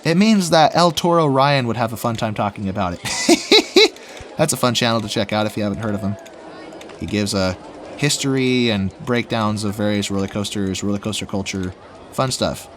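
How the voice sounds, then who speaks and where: A young man with a rough, slightly raspy voice after being sick, chatting casually and rambling as he plays a theme park building game. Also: on the low side, normal speed, a little hiss or hum. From Washington State. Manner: rambling, chatty, laughs often, talks to himself while building. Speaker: gaming YouTuber. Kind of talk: casual let's play commentary.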